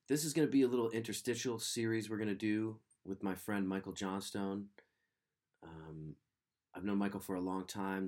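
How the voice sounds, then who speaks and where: Male podcast host, very low pitch, slightly nasal and sniffly, easygoing and sincere. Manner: relaxed, rambling, earnest. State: sniffly with a cold. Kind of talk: casual podcast intro.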